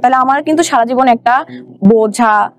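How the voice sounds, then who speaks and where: A woman's voice: fairly high pitch, normal speed, no echo. A young adult woman with a Bangladeshi accent, a guest recounting her own life in a conversational way. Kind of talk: conversational personal storytelling.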